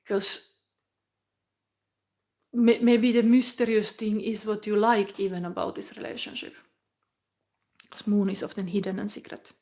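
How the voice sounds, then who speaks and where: A woman's voice: medium pitch, flat delivery. Musing woman reading tarot. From Finland. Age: 40s. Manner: musing, halting, with soft whispered asides. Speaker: tarot reader.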